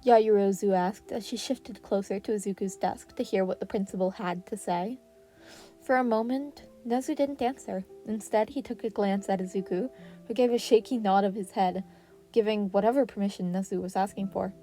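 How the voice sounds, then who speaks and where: A woman reading fan fiction aloud in an expressive narrating style. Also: medium pitch, normal speed, faint music behind, rough recording.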